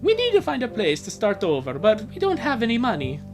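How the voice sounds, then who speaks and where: A woman's voice: fairly high pitch, wildly swooping pitch, fairly quick, soft background music, pitched above the performer's own voice. A woman voicing a cheerful young boy runaway who earnestly pleads for help. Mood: pleading.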